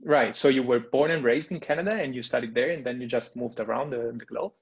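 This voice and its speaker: Halting young European man, gravelly voice. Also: medium pitch. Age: young adult. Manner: halting, repeats words. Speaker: interviewer, computer science student. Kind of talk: interview questions. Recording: dull lo-fi sound.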